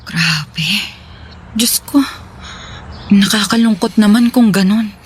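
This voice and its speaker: Over some background noise and ambience, a woman acts a gentle, forgiving female friend in a radio drama. Her voice sits at an ordinary pitch and her talk is casual and reassuring. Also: takes it slowly, lightly acted. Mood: shocked, sympathetic. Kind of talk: Filipino radio drama dialogue.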